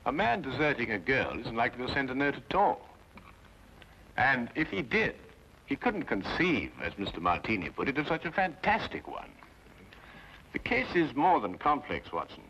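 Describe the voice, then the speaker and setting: An actor playing a brilliant, coolly logical Victorian detective with a precise British delivery in an old-time radio drama. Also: male voice, medium pitch, big pitch swings, moderate pace, bright, forceful tone. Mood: analytical. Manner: precise, assured, drily deductive. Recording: dull, noisy old radio drama recording.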